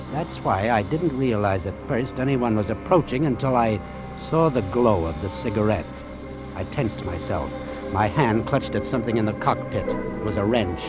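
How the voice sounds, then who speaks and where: A man's voice: lowish voice, lightly acted, rough recording. An American man acting a wary, wry doctor who narrates an old-time radio suspense drama in a terse, hard-boiled manner. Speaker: actor playing the narrator and lead. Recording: vintage radio drama with music underneath.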